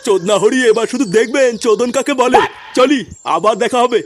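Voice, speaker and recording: A man's voice: extremely high pitch, brisk, slight background noise. A man voicing a vengeful, foul-mouthed young thug in a comic cartoon, swaggering and threatening. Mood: menacing. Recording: music behind the voice.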